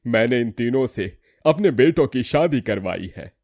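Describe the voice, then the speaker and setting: A man's voice: medium pitch, animated, unhurried, a little mellow, dry recording, studio quality. A man voicing a middle-aged father and family patriarch in a cartoon story, firm and emphatic. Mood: stern.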